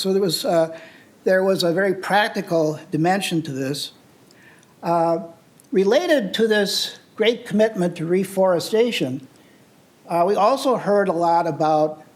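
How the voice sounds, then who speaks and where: An older man from the United States presents to an audience in an earnest, explanatory way, walking through slides. His voice sits high for a man. He often pauses on "uh" and restarts his phrases as he goes. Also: a little mellow. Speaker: presenter on travel and sustainability. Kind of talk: public talk with slides.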